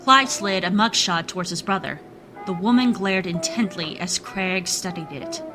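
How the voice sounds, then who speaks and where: A woman's voice: medium pitch, expressive, normal speed, music in the background, lightly acted. A woman reading scripted third-person narration as the storyteller of a noir mystery radio play. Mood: storytelling.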